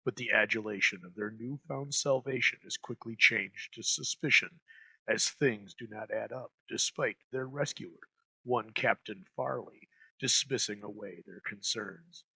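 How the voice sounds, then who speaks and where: A man's voice: fairly low pitch, measured pace, vocal fry, bright, forceful tone, slightly rough, no echo, rough recording. A man reading scripted narration as a host, with an ornate, dramatic delivery. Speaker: host introducing old radio episodes.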